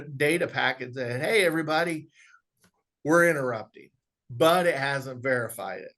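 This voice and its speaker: A man presenting an instructional software walkthrough, explaining step by step in a conversational way. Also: medium pitch. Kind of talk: instructional screen walkthrough, informal webinar.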